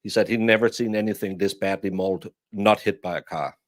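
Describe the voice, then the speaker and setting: A man's voice: fairly low pitch, fairly even intonation, fairly quick, no echo, studio quality. A man from Denmark, a guest commentator chatting bluntly with many restarts. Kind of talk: casual commentary chat.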